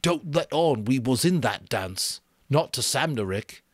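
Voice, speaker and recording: A man voicing an anxious, stubborn schoolboy in a storybook reading, pleading and insistent with rough grammar. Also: mid-range voice, expressive, measured pace, no room sound, studio quality, voice pushed up. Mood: furtive.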